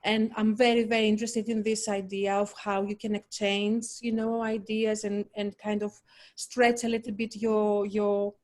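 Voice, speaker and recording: A woman from Greece with a Greek accent, a poet talking in a halting, thoughtful way over a video call, often searching for words. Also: medium pitch, little pitch movement, a little mellow. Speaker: poet, festival guest. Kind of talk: casual conversation.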